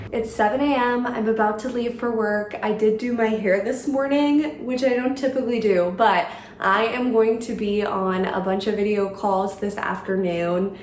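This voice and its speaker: A woman's voice: mid-range voice, darkish tone, slight background noise. Chatty young woman with a stuffy nose. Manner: chatty, upbeat, lots of "like" fillers. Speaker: vlogger. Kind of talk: casual vlog chat to camera.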